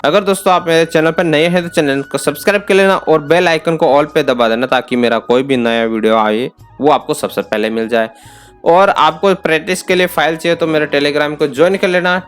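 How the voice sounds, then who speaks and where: Man, Indian accent, clear smooth voice, mid pitch, informal instructor, repeats key points, faint music behind. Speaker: tutorial host. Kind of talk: step-by-step software tutorial, informal.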